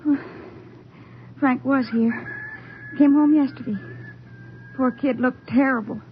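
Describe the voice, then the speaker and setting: An actress playing a worried, protective young sister in an old-time radio drama, hesitant, earnest and pleading. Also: female voice, sits high, fairly even intonation, slow. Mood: sad, earnest. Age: young adult. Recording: dull, hissy vintage radio recording.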